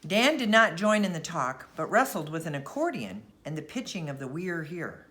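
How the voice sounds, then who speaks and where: A woman reading a bedtime story aloud as narrator, expressive and deliberate. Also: fairly low pitch.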